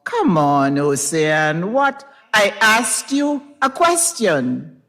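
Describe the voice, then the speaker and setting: A woman's voice: lowish voice, big pitch swings, drawn-out words, a bit bright, exaggerated voice. A woman voicing an earnest, pleading husband in a dramatized story reading, with emphatic delivery. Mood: insistent pleading. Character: pleading, insistent husband, a grown man. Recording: reverberant recital hall.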